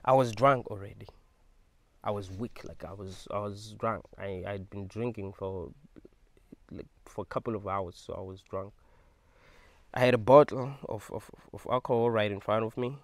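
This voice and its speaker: A young man, telling a personal story to camera in an animated, emphatic way and swearing freely. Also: on the low side, moderate pace, no room sound. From South Africa. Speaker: vlogger.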